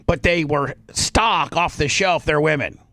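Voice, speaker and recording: Man explaining things in halting, repetitive bursts. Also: on the high side, gravelly, pushed and bright, dry recording, studio quality. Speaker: radio show host. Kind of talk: casual talk radio commentary.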